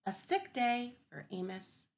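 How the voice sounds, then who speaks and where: A woman teaching kindergarten through a read-aloud, thinking aloud and posing simple questions to children in an expressive, emphatic way. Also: a little high, unhurried. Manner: expressive, emphatic storybook delivery.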